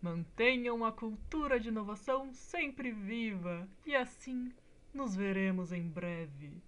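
A woman voicing a wise, encouraging inventor mentor in a children's cartoon, speaking in a measured way. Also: mid-range voice, animated, a bit bright. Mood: warmly encouraging.

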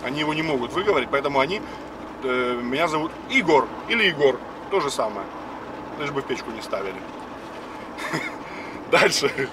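A man's voice: a little high, big pitch swings, normal speed, long pauses. A man in his fifties, host of a casual spoken lesson, explaining words one by one while driving. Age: 50s. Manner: chatty, explains word by word. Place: car on the road. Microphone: dashboard camera. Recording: distant car mic with road noise.

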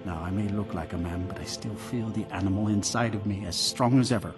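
A man voicing a remorseful, cursed werewolf in a video game, speaking in a halting, brooding, confessional way. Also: fairly low pitch, lightly acted. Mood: ashamed, confiding.

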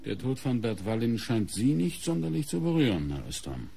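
An actor playing a coolly suspicious police inspector in a German radio crime play, questioning in a measured, deliberate way with pointed pauses. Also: male voice, mid-range voice, very animated, gravelly, heavy background noise. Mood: suspicious, insistent.

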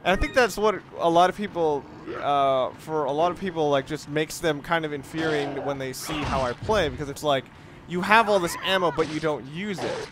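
Higher-voiced man commentating over noisy gameplay. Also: fairly quick. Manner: explanatory, rambling, mildly profane. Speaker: gamer, let's play host. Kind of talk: casual gameplay commentary with strategy explanation. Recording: noisy, game ambience behind voice. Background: video game sounds.

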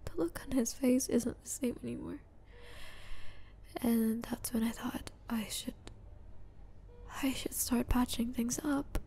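A woman acts out a vulnerable young woman in an ASMR drama, grieving and anxious. Her high voice is mellow and breathy, with halting, hushed phrases. Noisy hospital ambience sits behind her. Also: steady tone. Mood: regretful, sad. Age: young adult. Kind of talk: ASMR roleplay acting.

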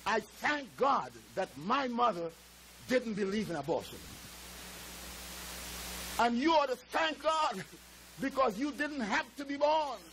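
A high-pitched older man in his seventies, from Trinidad, delivering a sermon through a headset mic on a church stage. He draws out key words slowly and swings into shouted praise, moving about the pulpit as he preaches. Faint hiss sits under the recording. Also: lively intonation, vocal fry, poor audio. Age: 70s. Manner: emphatic, drawn-out preaching with shouted exclamations; moves and gestures around the pulpit. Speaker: pastor. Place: church stage with drum kit.

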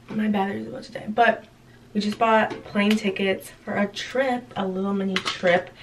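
A woman's voice: medium pitch, a little hiss or hum. A young woman, a college student, chatting casually to her phone camera as she vlogs her day at home and at work. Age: young adult. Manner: chatty, relaxed, trails off softly at times. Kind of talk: casual day-in-the-life vlog. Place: small apartment and office, indoors. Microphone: phone camera held close.

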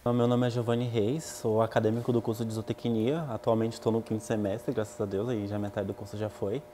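A man's voice: lowish voice, flat delivery, fairly quick, dark tone. Young man telling his story, faint hum. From Acre, northern Brazil. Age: 20s. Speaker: animal science student. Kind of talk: interview testimony. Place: indoors by a painted wall. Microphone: lapel mic.

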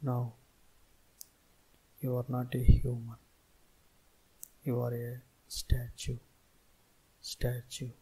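A man guiding a meditation in a soothing, deliberate manner, speaking in short phrases with long pauses. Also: mid-range voice, completely flat delivery, mellow, breathy edge, poor audio. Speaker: meditation guide. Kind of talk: guided meditation instruction.

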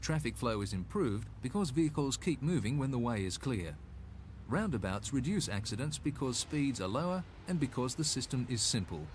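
A man's voice: medium pitch, very animated, moderate pace, a little hiss or hum. A man with an Australian accent narrating a scripted 1980s road safety film in an instructive, slightly folksy way.

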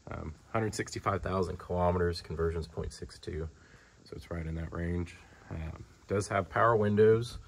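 Deep, gravelly man giving a casual walkaround. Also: normal speed, slight background noise. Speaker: car dealer presenting a vehicle. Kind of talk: casual off-the-cuff sales walkaround. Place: large indoor car showroom. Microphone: handheld camera, speaker behind it.

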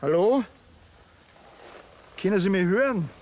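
A man's voice: sits high, lively intonation, unhurried. An actor playing a dry, unbothered provincial policeman with a Bavarian accent in a TV comedy. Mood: urgent. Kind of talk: TV crime comedy acting.

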